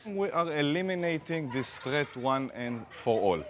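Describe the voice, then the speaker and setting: Israeli-accented man amid clear background noise. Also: fairly high pitch, animated, a little slow. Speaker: restaurant owner being interviewed. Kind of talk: casual street interview with simple halting English.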